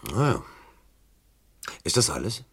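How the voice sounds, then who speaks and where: A man's voice: fairly low pitch, takes it slowly, breathy edge, exaggerated voice. Breezy, sardonic senior policeman. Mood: dismissive. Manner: sardonic, offhand, animated. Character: breezy, dismissive male senior police officer, off on holiday. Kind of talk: German radio crime drama acting. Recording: background noise, old radio play recording.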